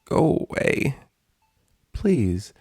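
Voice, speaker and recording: A man's voice: medium pitch, sing-song, extremely slow, darkish tone, breathy edge, no echo, clean studio sound, voice pushed up, exaggerated voice. A man voicing an angry, despairing young female pegasus pony in a storybook reading, curt and bitter. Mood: tearful, sullen.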